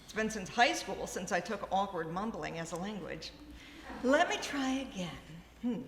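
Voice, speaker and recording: An actress playing a grand, effusive, self-important stage diva in a live radio comedy. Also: female voice, mid-range voice, lively intonation, normal speed, lightly acted. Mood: flustered. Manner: theatrical, effusive. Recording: echoey, noisy ballroom stage.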